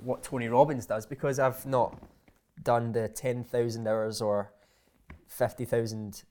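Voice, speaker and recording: A man's voice: mid-range voice, flat delivery, a little slow, darkish tone. A man chatting as an interview guest. He hesitates often and repeats his words as he restarts his sentences. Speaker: guest, aspiring motivational speaker. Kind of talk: casual interview chat.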